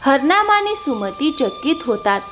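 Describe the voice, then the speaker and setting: A woman's voice: high voice, wildly swooping pitch, unhurried, fairly bright tone, faint music behind. A woman reading scripted narration for a moral story.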